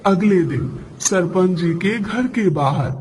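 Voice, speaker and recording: High-pitched male story narrator. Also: expressive, slow pace, a little mellow. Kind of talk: scripted cartoon story narration.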